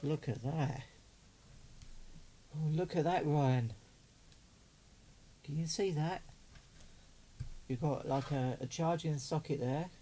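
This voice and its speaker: Slow-talking man chatting over background noise. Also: on the high side, mellow. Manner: chatty, doting, muttering to himself as he works. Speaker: radio hobbyist vlogger. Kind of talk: casual unboxing commentary.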